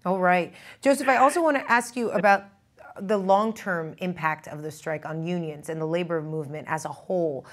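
Woman, 30s, lowish pitch, news host, formal questioning, studio lapel mic. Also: moderate pace.

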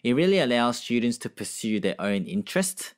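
A man's voice: medium pitch, sing-song, fairly quick, clean tone. Young man, formal and rehearsed. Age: 20s. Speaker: university student. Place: small home studio. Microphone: studio mic in front.

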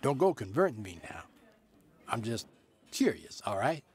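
Wary young man, husky. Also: mid-range voice, very animated, normal speed. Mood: guarded. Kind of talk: radio drama acting.

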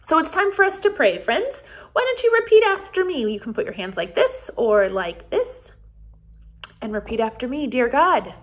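An American woman in her forties leading a children's Bible storytime as pastor, speaking in simple, deliberate phrases meant for young children. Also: sits high, sing-song. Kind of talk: children's storytime, reading and explaining. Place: small office, indoors.

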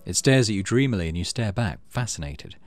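Low voiced guy doing a let's play, mutters his thinking, pitch moves around a lot, music behind him. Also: animated, fairly quick. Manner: thinks aloud, muttering through choices. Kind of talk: casual gameplay commentary, reading game text aloud. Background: game music.

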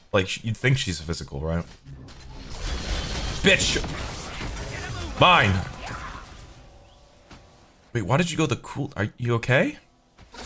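Young male streamer, low voice with big swings, slangy and joking, game music behind. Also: fairly bright tone. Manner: jokey, slangy, profane, talking to himself and viewers. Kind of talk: casual gaming commentary.

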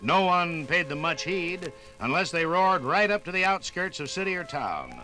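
Dramatic high-voiced American radio narrator man. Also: very animated, pushed and bright, low-quality recording. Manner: dramatic, deliberate storytelling. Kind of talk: 1950s radio documentary scripted narration. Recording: vintage 1950s radio LP. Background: dramatic music.

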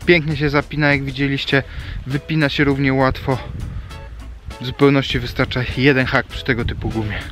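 A man's voice: mid-range voice, little pitch movement, fairly quick, a bit bright, soft background music. A man in his thirties filming a fishing vlog outdoors by a small river, chatting and explaining as he fishes. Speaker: fishing vlogger. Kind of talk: casual outdoor vlog talk.

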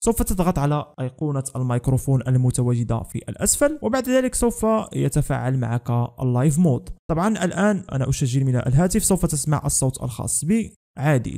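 A man's voice: medium pitch, dark tone. A young man narrating a scripted tech tutorial as the host of a channel.

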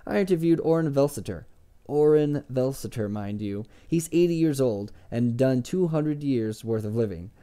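A man's voice: medium pitch, animated, a little slow, hardly any pauses, warm tone, lightly acted. Man voicing an earnest, nervous story collector. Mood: emphatic, protesting. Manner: eager, hesitant, pleading. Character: earnest, nervous, slightly self-important male story collector.

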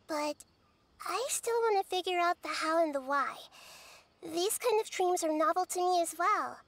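A woman voicing a small, childlike goddess in a video game, calm, curious and thoughtful, explaining things in a measured way. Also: very high-pitched, no room sound, exaggerated voice.

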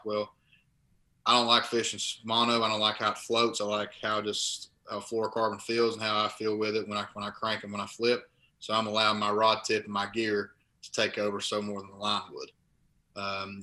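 A man's voice: on the low side, sharp tone. A young man, a guest in a casual interview, talking from his living room over a laptop video call, rambling and restarting phrases as he explains. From Kentucky. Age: young adult. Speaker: guest, college linebacker and bass angler. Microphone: laptop webcam on video call.

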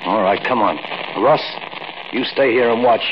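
Brusque actor's gang boss, crackly old radio. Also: male voice, mid-range voice, unhurried, close to an everyday voice. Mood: impatient, domineering. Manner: brusque, barking orders. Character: bossy, reckless burglar gang leader, impatient and dismissive. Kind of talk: 1940s radio acting. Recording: crackly muffled 1940s radio recording.

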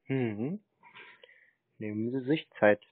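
A man's voice: mid-range voice, slow, no echo. A man in a casual phone conversation, patient and matter-of-fact, spelling things out. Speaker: prank caller posing as a customer to phone scammers. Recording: narrow tinny phone-like line.

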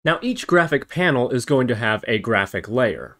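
Man narrating a tutorial with lively pitch. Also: moderate pace. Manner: explanatory, step-by-step. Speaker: tutorial host. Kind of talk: software tutorial narration over screen recording.

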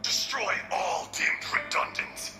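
An actor voicing a vengeful ancient dragon in a video game, bitter and scornful, with breathy, slow, theatrical menace. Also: reverberant, music in the background, natural voice. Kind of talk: dramatic video game acting.

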